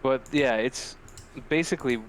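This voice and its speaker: A man's voice: medium pitch, normal speed. Casual, foul-mouthed man podcasting over noisy audio. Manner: profane, slangy, jokey. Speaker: podcast co-host. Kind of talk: casual podcast chat, weird news banter.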